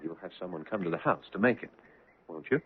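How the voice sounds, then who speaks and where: An actor playing a lovestruck, anxious young bridegroom, husky-voiced, acting and narrating in a 1970s radio drama. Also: male voice, low voice, lively intonation, fast talker, exaggerated voice. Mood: tender, eager. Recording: muffled, phone-like vintage radio broadcast.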